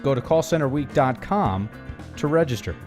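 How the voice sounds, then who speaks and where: A man's voice: medium pitch, expressive, moderate pace, over music. A man hosting a podcast, reading sponsor spots and an intro with emphasis, then chatting casually with a guest. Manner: upbeat and emphatic in the intro, soft and chatty in conversation. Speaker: podcast host. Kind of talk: scripted intro read, then casual interview.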